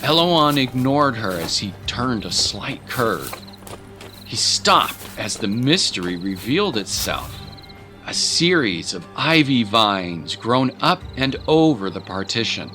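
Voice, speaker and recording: A man's voice: medium pitch, very animated, takes it slowly, pushed and bright, faint music behind. A man reading scripted, dramatic story narration as the narrator of an audio drama.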